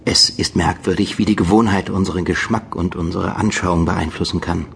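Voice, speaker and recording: An actor playing a polite, wordy young gentleman tenant who narrates and speaks with formal, elaborate courtesy in a radio drama. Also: sits low, steady tone, normal speed, gravelly, slight background noise.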